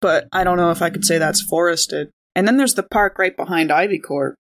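A woman chatting casually and describing her character's moves as a player in a tabletop game, quick to banter. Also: lowish voice. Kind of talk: casual chat and in-game narration.

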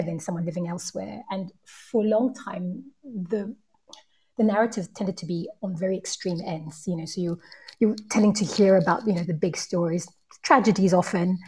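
A woman's voice: mid-range voice, mellow, breathy edge. A woman podcaster explaining her work in a panel discussion.

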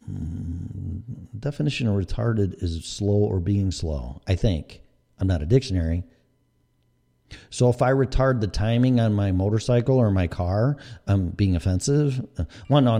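A man's voice: low-pitched, dark tone. A middle-aged man with a Chicago accent, hosting a podcast in a blunt, profane and sarcastic casual rant. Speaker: podcast host.

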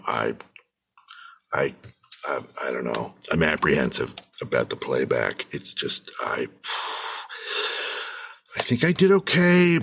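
A man playing an anxious, self-doubting musician in an improvised scene, speaking hesitantly and repeating himself in a gravelly, husky voice. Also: a bass voice, pushed and bright, full character voice. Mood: anxious, muttering. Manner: hesitant, repetitive muttering and sighing. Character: anxious, self-doubting male musician steadying his bandmate. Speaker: actor in an improvised theater scene. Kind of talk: improvised monologue and dialogue acting.

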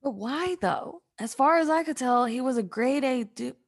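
A woman's voice: high-pitched, animated, moderate pace. A woman playing a blunt, exasperated, foul-mouthed young friend in a comedic radio play, with modern slang and sassy emphasis. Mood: scornful.

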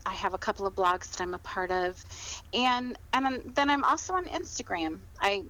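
A woman in middle age talks as a guest in a casual interview. She is chatty and informal, circling back to repeat phrases as she builds her answers. Her pitch sits in a middle range for a woman. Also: lively intonation, normal speed, bright, forceful tone, rough recording. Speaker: podcast guest, author.

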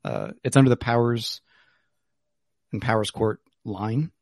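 A man with a low voice talks casually on a podcast, filling his pauses with ums and uhs. The sound is dry and close, with no room around it. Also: wildly swooping pitch, creaky, darkish tone, no room sound. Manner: rambling, lots of fillers. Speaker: podcast host. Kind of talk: casual chat over whiskey. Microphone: remote call.

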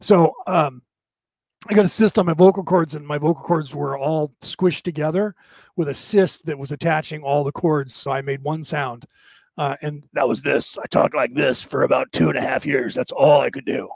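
Rambling man with a slightly raspy voice. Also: on the high side, steady tone, moderate pace, darkish tone, dry recording. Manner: rambling, repetitive spiritual musing with many restarts. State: voice tiring. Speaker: podcast host. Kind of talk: casual livestream monologue answering viewer comments.

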